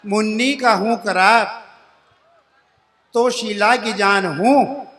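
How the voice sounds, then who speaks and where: A man's voice: high-pitched, extremely slow, a bit bright. A man in his sixties, a comic poet performing at a poetry gathering, repeats each couplet line before the punchline and chats with the crowd as friends. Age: 60s. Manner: chatty, addresses the crowd as friends. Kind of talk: humorous poetry recitation and storytelling. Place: stage at a live poetry event.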